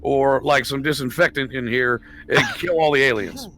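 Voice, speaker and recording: A man's voice: mid-range voice, moderate pace, sharp tone. A man playing a tabletop roleplaying game, talking casually with the group.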